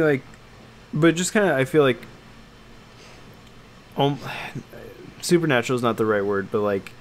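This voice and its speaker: A man co-hosting a casual podcast chat about games and films, talking in a halting, meandering way that trails off. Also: mid-range voice, a little hiss or hum. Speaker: podcast co-host.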